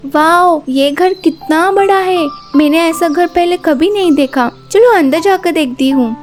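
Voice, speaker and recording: A woman voicing a curious, polite and innocent young girl in an animated moral story. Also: very high voice, unhurried, soft background music, pitched above the performer's own voice. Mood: surprised, curious.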